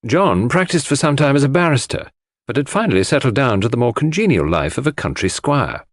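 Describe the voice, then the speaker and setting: A man's voice: medium pitch, sing-song, fairly quick, dry recording, clean studio sound. A man reading a scripted first-person literary narration as an audiobook narrator.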